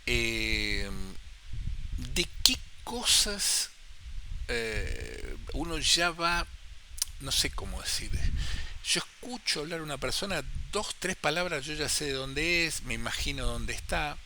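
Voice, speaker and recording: A man's voice: mid-range voice, sing-song, slow pace, bright, forceful tone, a little hiss or hum. A man with a Rioplatense Spanish accent explaining amateur radio in a casual, chatty way, often repeating phrases and acting out questions he imagines from listeners. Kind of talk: casual how-to talk.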